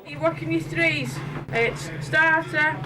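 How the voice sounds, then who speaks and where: A woman's voice: high-pitched, slow pace, distant mic, crowd noise, poor audio. A woman briefing catering staff, listing instructions. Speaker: catering supervisor.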